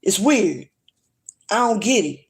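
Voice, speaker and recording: A woman's voice: mid-range voice, lively intonation, takes it slowly. Blunt, foul-mouthed woman venting on a livestream. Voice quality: strong voice. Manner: blunt, streetwise, swears freely. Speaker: livestream vlogger. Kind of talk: casual rant to camera.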